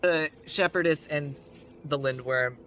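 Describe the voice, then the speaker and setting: A man's voice: fairly high pitch, measured pace, fairly bright tone, a little hiss or hum. A man telling a story casually on a live stream, rambling with conversational asides.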